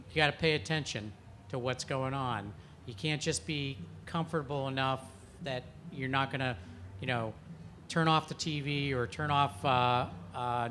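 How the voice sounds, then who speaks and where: A man speaks on a panel on an outdoor festival stage and urges his listeners to act. He often restarts his phrases as he pushes his point. His voice is mid-pitched, with faint outdoor ambience behind it. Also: fairly bright tone, slight background noise. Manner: urgent, exhorting, repetitive restarts. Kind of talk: panel discussion.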